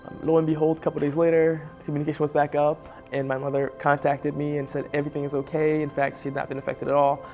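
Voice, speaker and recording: A young man from Haiti sharing his personal story as a guest, earnest and pausing with fillers. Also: on the high side, level tone, darkish tone, faint music behind. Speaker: spotlight guest. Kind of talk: personal testimony.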